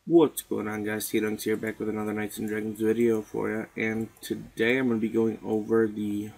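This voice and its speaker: A man with a hoarse voice that is partly gone, talking casually through game results as a video host in a laid-back way with lots of "uh" and "you know". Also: fairly low pitch, monotone, moderate pace, a little mellow. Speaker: mobile game YouTuber.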